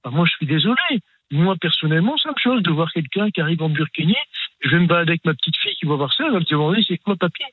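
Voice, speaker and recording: A man's voice: a little high, animated, very fast, slightly rough, no room sound. An older man from France calling in to a radio talk show, speaking hesitantly with many fillers and restarts. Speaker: caller. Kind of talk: radio call-in. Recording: tinny phone line.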